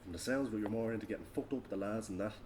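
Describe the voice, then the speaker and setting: Low, curt actor as guarded ex-con, noisy room. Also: male voice, racing, a little mellow, close to an everyday voice. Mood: bitter, angry. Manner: curt, terse replies. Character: guarded, irritable man just out of prison. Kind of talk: informal table read of a script. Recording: clear background room noise.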